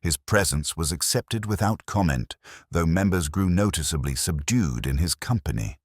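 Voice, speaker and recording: A male narrator telling a detective mystery in an even, unhurried way. His voice is very deep, yet his pitch moves expressively from phrase to phrase. The recording is dry and close, with no room sound. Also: slightly rough, no echo. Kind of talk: scripted audiobook narration.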